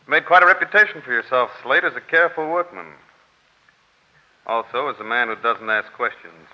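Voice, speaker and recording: An actor playing a cold, careful crime boss who speaks in a measured, formal, menacing way, in 1940s radio style. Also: male voice, medium pitch, bright, forceful tone. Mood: smooth, businesslike menace. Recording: muffled old radio recording.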